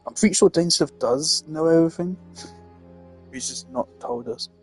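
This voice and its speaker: Husky man rambling over a game. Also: fairly high pitch, unhurried, poor audio. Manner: rambling, filler-heavy chat. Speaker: streamer. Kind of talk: casual commentary over a game. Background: faint game music.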